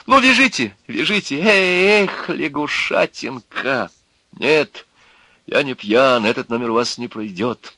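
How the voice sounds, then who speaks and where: A man voicing a furious eccentric in a dramatized story, shouting and sarcastic, ranting with spiteful, defiant glee. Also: fairly high pitch, no echo, voice pushed up, exaggerated voice.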